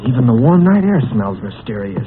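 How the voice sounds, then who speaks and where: An actor playing a brave teenage adventurer who takes charge, in a 1940s radio serial on a tinny, hissy old recording. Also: male voice, mid-range voice, big pitch swings, natural voice. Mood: hushed. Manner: brisk and take-charge.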